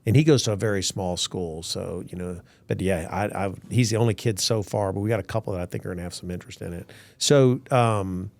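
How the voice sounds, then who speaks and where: Gravelly older man hosting a podcast. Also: deep voice, brisk, hardly any pauses. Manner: chatty, wanders into family stories. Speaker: podcast host. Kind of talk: casual interview. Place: Virginia.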